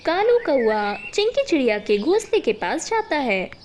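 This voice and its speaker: A woman's voice: sits high, sing-song, unhurried, darkish tone, a little hiss or hum. A woman narrating a scripted moral story for children.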